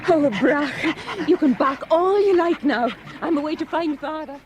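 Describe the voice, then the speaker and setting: An actress playing a young island girl with her dog, speaking in a hurried, breathless way. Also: high voice, a little mellow, highs cut off, a little hiss or hum, lightly acted. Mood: relieved. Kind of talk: radio drama acting.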